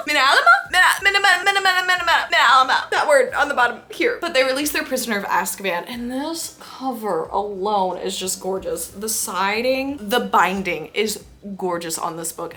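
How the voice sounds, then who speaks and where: A woman's voice: fairly high pitch, bright, forceful tone. A young woman book vlogger chatting casually and enthusiastically, gushing and repeating words for emphasis. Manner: gushing, effusive, emphatic repetition. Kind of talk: casual enthusiastic chat to camera.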